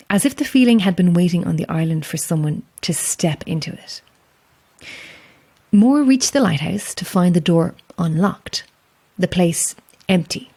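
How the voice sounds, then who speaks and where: A woman playing a solitary, calm lighthouse keeper with an Irish accent in a horror audio drama, speaking in a measured, understated way. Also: on the low side, breathy edge, full character voice. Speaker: lighthouse keeper and narrator of a tale.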